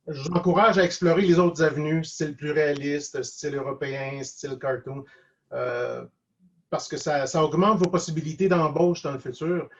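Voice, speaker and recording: Casting: male interview guest, Quebec French accent, slightly high voice, hesitant delivery, dull lo-fi call audio. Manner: hesitant, halting, repeats words. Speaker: guest cartoonist and animator. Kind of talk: casual online interview, reflective advice. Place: video call.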